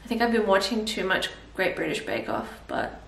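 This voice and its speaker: A woman's voice: mid-range voice, big pitch swings, fairly quick, vocal fry, recorded from a distance, a little hiss or hum. A woman in her thirties with an Australian accent, chatting casually in a rambling way while cooking at home in her kitchen. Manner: rambling, chatty, thinking aloud with fillers. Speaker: vlogger. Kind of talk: casual cook-along vlog chat. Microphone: camera mic a few feet away. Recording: roomy kitchen echo, voice from off-mic.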